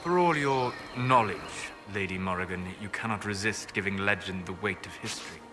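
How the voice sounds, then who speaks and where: An actor playing a solemn elven scholar in a fantasy game, speaking slowly in a grave, measured way. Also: male voice, on the low side, noisy background, natural voice.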